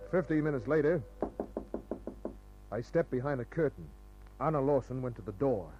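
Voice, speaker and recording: An actor playing a wry, cool-headed private detective in an old radio noir, narrating and talking in terse, clipped phrases. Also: male voice, medium pitch, animated, moderate pace, darkish tone, soft background music, lightly acted. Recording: muffled old radio recording.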